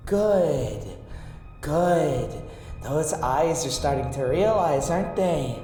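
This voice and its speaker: Slow, low, teasing mercenary wolf-woman played by a woman. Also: mellow. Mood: menacing, teasing. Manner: slow, teasing, purring endearments. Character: motherly, teasing, dangerous lycan mercenary woman. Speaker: amateur voice actor. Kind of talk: intimate roleplay audio drama monologue. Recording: echoey reverb with music underneath.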